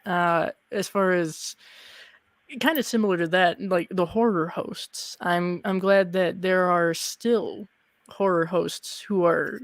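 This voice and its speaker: A young adult host chats casually through a livestream interview. The voice sits at a middle pitch and sounds close and dry. Short agreeing interjections pepper the talk. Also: steady tone, takes it slowly, no room sound.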